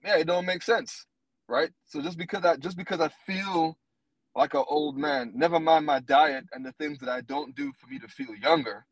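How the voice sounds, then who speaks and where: A man's voice: a little high, moderate pace, no room sound, rough recording. Blunt, profane man in his thirties. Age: 30s. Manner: animated, blunt, profane storytelling. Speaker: podcast co-host. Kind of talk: casual podcast chat.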